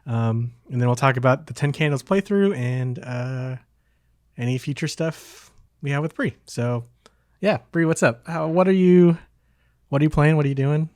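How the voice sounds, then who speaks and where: A man's voice: mid-range voice, moderate pace, darkish tone, dry recording, clean studio sound. A man hosting a podcast in a casual, conversational way. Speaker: podcast host.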